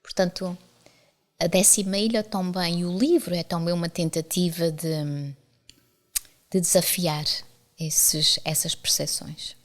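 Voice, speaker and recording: A woman's voice: lowish voice, steady tone, a little slow. A middle-aged woman from the Azores with a Portuguese accent, an academic guest talking candidly and telling anecdotes in conversation. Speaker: university professor and author, interview guest. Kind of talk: conversational interview storytelling.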